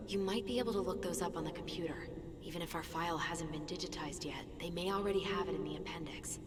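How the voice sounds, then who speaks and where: An actress playing a cool-headed young American woman in a video game, matter-of-fact and gently teasing. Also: lowish voice, fast talker, noisy background, natural voice. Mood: practical. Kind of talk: naturalistic video game dialogue acting.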